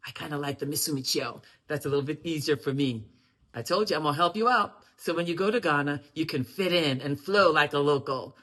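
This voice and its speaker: Woman, language teacher, patient, repeats slowly, lively loud emphasis. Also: low-pitched, slightly rough. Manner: patient, repeats phrases slowly for learners to echo. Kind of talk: friendly language lesson to camera.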